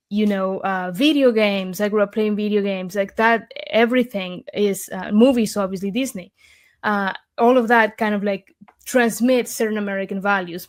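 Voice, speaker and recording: A young woman from Argentina, a guest in an interview, speaking earnestly and from the heart, with many fillers and restarts. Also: mid-range voice. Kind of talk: conversational interview.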